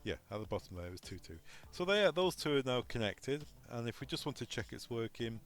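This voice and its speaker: A man's voice: medium pitch, sing-song, a little fast. A man presenting an unscripted technical tutorial, informally talking through each step aloud as he goes. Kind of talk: unscripted step-by-step software walkthrough.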